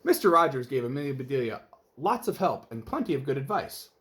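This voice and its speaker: Highly animated man reading a storybook. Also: a little fast. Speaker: storybook reader. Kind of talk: expressive children's read-aloud narration.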